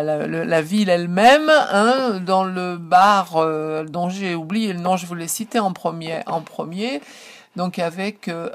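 A woman from France hosting a local radio music show, talking casually and pausing often with fillers and restarts. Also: fairly low pitch. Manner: hesitant, many fillers and restarts. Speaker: radio host.

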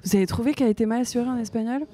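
A young woman from France talks softly in a relaxed, unhurried way. Her voice sounds warm and mellow. She often pauses and restarts as she searches for words. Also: a little high. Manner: soft-spoken and hesitant, with many fillers and restarts. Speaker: singer-songwriter guest. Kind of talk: casual interview talk.

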